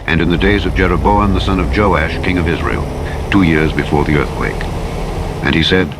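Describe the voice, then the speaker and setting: A man narrating the Bible in a solemn, dramatic, measured and declamatory style. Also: sits low. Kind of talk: solemn dramatic scripture reading. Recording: engine and vehicle noise over playback. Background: truck engine and road noise from a driving game.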